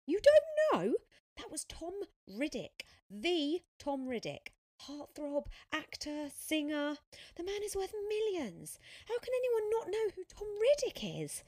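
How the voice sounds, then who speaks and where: A starstruck teenage girl, acted out by a woman narrator, pitched well up and very animated. She gushes with incredulous emphasis, like an excitable fan in a young adult audiobook. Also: takes it slowly, dry recording, studio quality, full character voice.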